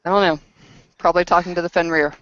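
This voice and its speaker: A woman's voice: lowish voice, fairly even intonation, quick pace, a bit bright, slight background noise, close to an everyday voice. Woman playing a terse, defiant werewolf kinswoman. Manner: terse, blunt. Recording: dull lo-fi voice recording.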